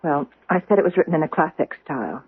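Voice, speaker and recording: An actress playing an earnest, firm housekeeper, prim and insistent, in an old-time radio drama. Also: female voice, low-pitched, lively intonation, quick pace, breathy edge, full character voice. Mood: eager, insistent. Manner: prim, insistent, searching for words. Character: earnest, firm housekeeper, a woman with a nagging hunch. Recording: thin, narrow-band old radio broadcast.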